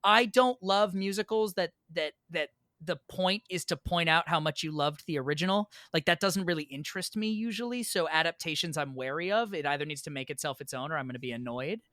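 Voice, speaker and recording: A man's voice: a little high, a little fast, few pauses, pushed and bright, no echo, studio quality. An American man chatting casually as a podcast guest, emphatic and prone to repeating words. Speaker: podcast guest, theatre performer.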